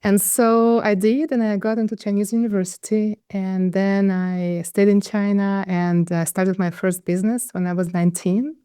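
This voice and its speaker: A woman's voice: mid-range voice, steady tone, normal speed, few pauses, warm tone, clean tone, no room sound, clean studio sound. A middle-aged woman from Ukraine, a guest telling her life story in an interview, hesitant and full of restarts. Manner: hesitant, with many restarts and fillers. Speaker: guest, conflict consultant.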